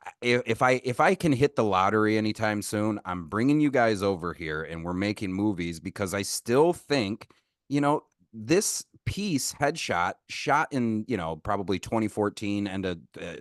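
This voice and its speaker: A man's voice: lowish voice, lively intonation, moderate pace, dry recording, studio quality. A man hosting a podcast interview, asking long, winding questions full of restarts and gushing praise. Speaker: podcast host.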